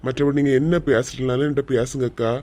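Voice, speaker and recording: A man's voice: mid-range voice, fairly even intonation, quick pace, mellow, natural voice. Stubborn yet respectful village man acted by a man. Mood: firm. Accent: Kanyakumari Tamil. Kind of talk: cartoon comedy voice acting.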